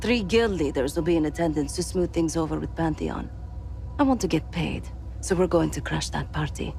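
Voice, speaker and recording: An actress playing a bold, commanding freelance mercenary in a game, with punchy delivery and a gravelly edge. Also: female voice, low-pitched, normal speed. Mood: brisk, confident. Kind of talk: scripted game cutscene dialogue. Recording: loud music over the voice. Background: loud music.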